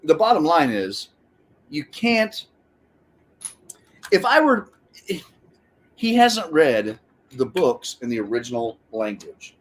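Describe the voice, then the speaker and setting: A man's voice: a little high, sing-song, slow pace, long pauses. A man hosting a casual online chat, blunt and argumentative, swearing freely and talking over the others.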